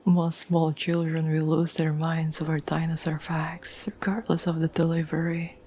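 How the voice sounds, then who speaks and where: A woman acts out a caring, gentle girlfriend in an intimate ASMR audio roleplay. Her voice is a breathy, whispery murmur, slightly low and very even in pitch. She takes her time, pausing thoughtfully, over faint background noise. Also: lightly acted. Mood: fond, gently amused. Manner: gentle, unhurried, halting with thoughtful pauses. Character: caring, tender girlfriend reassuring her troubled partner.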